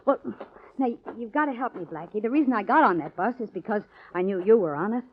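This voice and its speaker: A woman's voice: mid-range voice, expressive, quick pace, natural voice. An actress playing an evasive, armed young woman in trouble, in 1940s radio drama style. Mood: urgent. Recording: dull, faintly noisy old radio recording.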